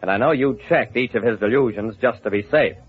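An actor playing a shrewd, hard-boiled investigator in an old-time radio drama, wry, clipped and confident. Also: male voice, mid-range voice, fairly quick, breathy edge, close to an everyday voice. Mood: probing, firm. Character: shrewd, hard-boiled male investigator telling his case. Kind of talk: old-time radio detective narration and acting. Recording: muffled vintage radio recording.

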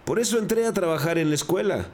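An actor playing a cornered gang drug dealer in a crime audio drama, defensive, earnest and pleading. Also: male voice, sits high, expressive, fast talker, a little mellow.

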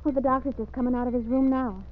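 Woman playing high-voiced anxious frontier girl. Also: fairly even intonation, quick pace, lightly acted. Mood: worried. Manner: worried, pleading. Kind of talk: old-time radio western acting. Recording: muffled, noisy vintage radio recording.

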